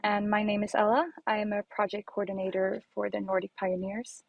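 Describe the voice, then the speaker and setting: A young woman hosting a video podcast interview, upbeat and quick to laugh. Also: medium pitch, flat delivery, vocal fry, no room sound, lo-fi sound. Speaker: podcast host, project coordinator.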